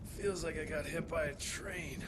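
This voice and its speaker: A man playing a gruff, sardonic Western monster hunter. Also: fairly high pitch, unhurried, close to an everyday voice. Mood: weary, bitter. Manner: terse, dry, no-nonsense. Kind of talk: video game cutscene acting. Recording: loud ambient noise behind the voice.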